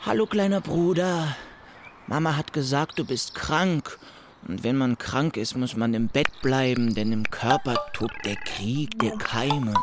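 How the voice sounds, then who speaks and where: An actor playing a playful big brother telling a silly story with theatrical flair and youthful slang in a radio drama. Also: male voice, mid-range voice, unhurried, background noise, close to an everyday voice. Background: loud music.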